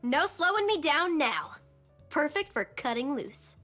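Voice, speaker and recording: A woman's voice: high-pitched, measured pace, soft background music, heavily acted. A woman playing a small, brash, reckless female yordle cannoneer who loves explosions, in video game voice lines. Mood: gung-ho. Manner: brash, gung-ho, excitable.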